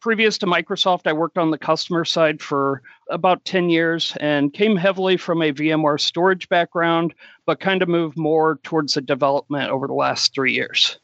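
Higher-voiced man on a dry, muffled line. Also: normal speed, a bit bright, no echo. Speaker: guest, cloud architect. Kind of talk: podcast interview.

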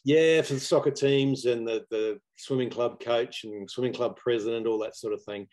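A middle-aged man with a New Zealand accent, chatting casually as a guest in a laid-back, self-deprecating, halting way. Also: mid-range voice, fairly even intonation, darkish tone. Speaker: interview guest, property investor student. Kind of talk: casual anecdotal chat. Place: live video call online.

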